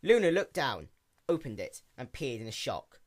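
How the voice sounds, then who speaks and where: A man's voice: medium pitch, big pitch swings, measured pace. A man narrating a piece of fiction in a dramatic story-reading style.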